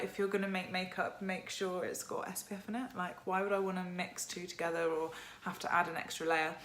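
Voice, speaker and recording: A young woman with a croaky voice chatting casually to camera about her skincare routine, filling her talk with "like" and "um". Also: on the low side, fairly bright tone. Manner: chatty, lots of "like" and "um". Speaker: skincare vlogger. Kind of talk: casual product chat to camera.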